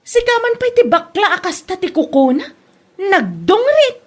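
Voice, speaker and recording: A woman's voice: extremely high pitch, very animated, moderate pace. A woman acting a fussy, mocking village woman in an Ilocano comedy drama, taunting others in an Ilocano accent.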